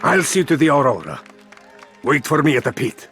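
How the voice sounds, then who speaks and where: Gravelly, stern mentor. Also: male voice, a little high, sing-song, normal speed, a bit bright, soft background music, a faint crowd. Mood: stern, weary. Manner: firm, world-weary. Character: stern, weary mentor of a racing team.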